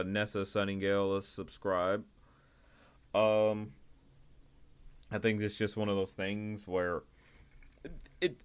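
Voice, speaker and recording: A man hosting a livestream, giving casual, rambling commentary in a halting way, often repeating and rephrasing himself. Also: fairly low pitch, clear, resonant tone. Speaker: livestream host reviewing indie animation.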